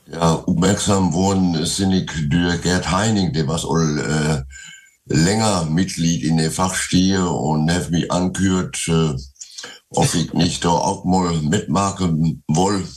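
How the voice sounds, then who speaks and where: A man in his eighties with a Westphalian Low German accent, speaking hesitantly in an interview, with frequent pauses. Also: a little mellow. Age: 80s. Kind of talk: casual interview.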